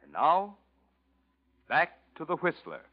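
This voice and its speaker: A male announcer reading a 1940s radio commercial in a brisk, hard-selling manner, on a muffled old recording. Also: on the high side, very animated, very noisy.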